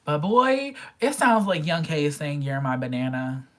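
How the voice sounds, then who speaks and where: A woman's voice: low voice, very animated, normal speed. A young woman casually reviewing pop albums in a vlog, chatty and gushing, sometimes dropping to a whisper. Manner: chatty fangirl, gushing, drops into quiet whispers.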